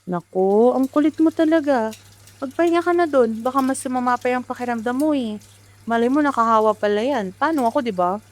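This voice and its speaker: A woman's voice: high-pitched, brisk, natural voice. A woman with a Filipino accent playing a worried, nagging mother in an amateur radio drama. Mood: fussing concern. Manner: scolding, exclamatory. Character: worried, nagging, quick-to-scold mother of grown children.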